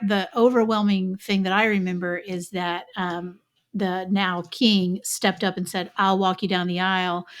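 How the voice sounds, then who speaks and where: A woman's voice: mid-range voice, a bit bright. Chatty woman podcast co-host. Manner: conversational, hedging with fillers. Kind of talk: casual podcast chat.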